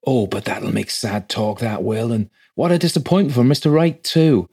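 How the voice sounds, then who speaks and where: A man's voice: fairly low pitch, a little fast, darkish tone, lightly acted. A man voicing a respectful, easily shocked rustic village clerk in a ghost story read aloud. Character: shocked, deferential old-fashioned village clerk.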